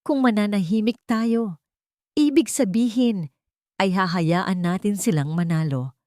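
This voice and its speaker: A woman's voice: medium pitch, a little slow, a little mellow, dry recording, studio quality, natural voice. A woman voicing an earnest, resolute adult son protecting his mother in an audio drama. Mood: pleading resolve.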